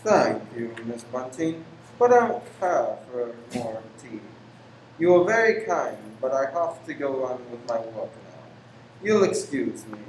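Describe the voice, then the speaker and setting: A man acts out a brooding, courteous lodger in a radio drama. He speaks stiffly and deliberately, with wide swings in pitch. The room echoes and there is faint noise. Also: very animated. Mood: polite, withdrawn. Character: brooding, pious, sinister male lodger, formal and courteous. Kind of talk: radio play acting. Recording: roomy echo, faint noise.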